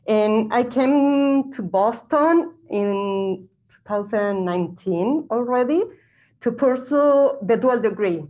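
Young woman, student on a masked video call, slow and halting with many fillers, dull lo-fi laptop sound. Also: on the high side. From Chile. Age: young adult. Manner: halting, many uhs and restarts, non-native phrasing. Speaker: current graduate student. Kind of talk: answering questions on a video call. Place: bright indoor room, wearing a face mask. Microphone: laptop webcam mic.

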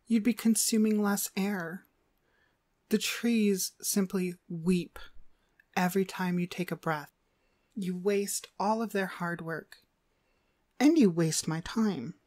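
Woman playing a slow, haughty, cruel female trainer. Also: medium pitch, a little mellow, dry recording. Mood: cold contempt. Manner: haughty, slow, scolding delivery with drawn-out emphasis. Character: cruel, contemptuous female dog trainer, demonic domineering mistress. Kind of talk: ASMR roleplay.

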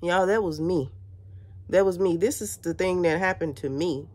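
A woman's voice: on the low side, normal speed, a little mellow. Stuffy-voiced woman talking to camera. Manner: earnest, addresses viewers as y'all. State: stuffy from congestion. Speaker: spiritual vlogger. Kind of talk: casual spiritual talk to camera. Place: bedroom indoors.

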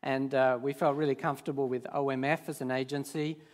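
A man in his fifties, born in Sweden with Swedish as his first language, preaching on a large church stage in a relaxed, hesitant way full of fillers. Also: medium pitch, fairly quick. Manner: hesitant, many fillers and restarts. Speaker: guest preacher. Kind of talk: informal sermon.

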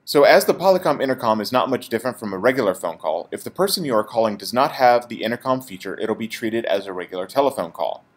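A man's voice: medium pitch, lively intonation, quick pace, hardly any pauses. A man presenting an informal, explanatory product tutorial as a support technician.

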